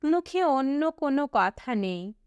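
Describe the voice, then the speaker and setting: A woman narrating a storybook as an audiobook reader, in a measured, expressive storytelling manner with deliberate breaks between phrases. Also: sits high, no room sound, highs cut off.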